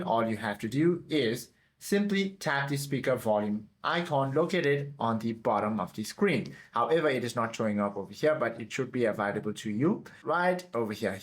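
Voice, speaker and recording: A man narrating a step-by-step app tutorial in an instructional manner. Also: medium pitch. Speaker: tutorial presenter.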